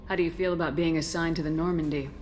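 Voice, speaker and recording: Actress doing tough female commander for a game, low voice, straight to the point. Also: fairly even intonation, fast talker, a little mellow, slight background noise, close to an everyday voice. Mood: businesslike. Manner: direct, controlled. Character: firm, self-assured female military commander. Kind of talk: video game voice acting.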